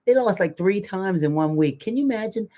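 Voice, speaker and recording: A woman's voice: mid-range voice, animated, fairly quick, mellow. An older woman chats casually as a livestream co-host. Her voice sits in the middle of the range, and she speaks in a folksy, colloquial way with plenty of emphasis. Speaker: co-host of a live community chat show.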